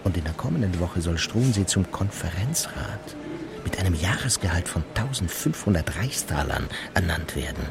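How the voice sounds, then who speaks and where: A tenacious, scheming courtier for a radio drama. He speaks low with a heavy creak, deliberate and insinuating, wrapping self-importance in humility. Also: lively intonation, over loud music. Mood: conspiratorial reporting. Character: scheming pious male courtier, falsely humble, tenacious, watchful. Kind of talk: German radio drama acting.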